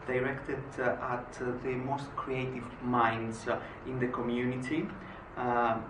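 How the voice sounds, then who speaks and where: Man from Greece, conference talk, halting speech with many uh's, distant mic, roomy hall, noisy background. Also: medium pitch. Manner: frequent "uh" hesitations. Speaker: conference speaker.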